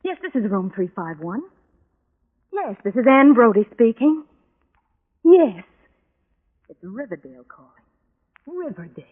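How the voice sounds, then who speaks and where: A woman's voice: on the high side, big pitch swings, unhurried, long pauses, slightly rough, full character voice. An actress playing a lovesick, obsessive young woman in an old-time radio drama, pleading with impassioned, bitter anguish. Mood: tense, expectant. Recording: tinny vintage radio recording with music.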